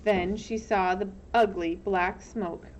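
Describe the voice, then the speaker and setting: A woman's voice: mid-range voice, fairly even intonation, slow, a little mellow, dull audio, a little hiss or hum. A woman reading a children's novel aloud in scripted storybook narration. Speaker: audiobook reader.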